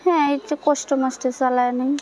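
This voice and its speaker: A young woman of about eighteen with a colloquial Bangladeshi accent, giving plain, informal answers in a soft, weak-sounding voice. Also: high voice, fairly even intonation, fast, crowd noise, poor audio. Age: young adult, about 18. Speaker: interviewee.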